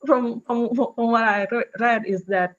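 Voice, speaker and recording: A woman's voice: a little high, steady tone, a little slow. A woman with an Indonesian accent interviewing conversationally, often restarting her phrases hesitantly. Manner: hesitant, repeats phrase starts. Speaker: host asking questions. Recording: dull lo-fi online call audio.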